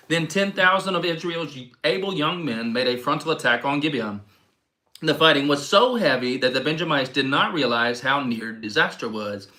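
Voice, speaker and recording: Male scripture reader, slightly higher-pitched with a bright, forceful tone and a declamatory, expressive delivery. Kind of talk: expressive scripture reading aloud.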